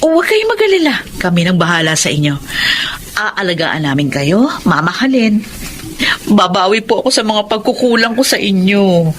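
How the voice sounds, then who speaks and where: A woman's voice: medium pitch, sing-song, moderate pace, slight background noise, lightly acted. A woman playing a contrite, loving middle-aged mother in a Filipino radio drama, speaking coaxingly. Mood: pleading, loving.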